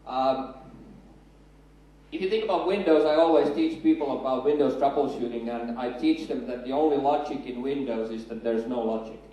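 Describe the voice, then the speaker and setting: A man's voice: medium pitch, moderate pace, dark tone. A man in his thirties with a Finnish accent, a native Finnish speaker, giving a chatty, self-deprecating conference talk with a handheld mic on a stage. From Finland. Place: large hall on a stage. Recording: echoey hall, far from the mic.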